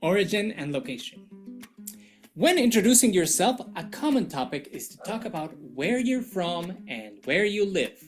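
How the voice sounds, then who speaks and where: A woman's voice: lowish voice, sing-song, a little slow, soft background music. A woman hosting a scripted language-lesson video in a playful, jokey manner. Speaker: video host.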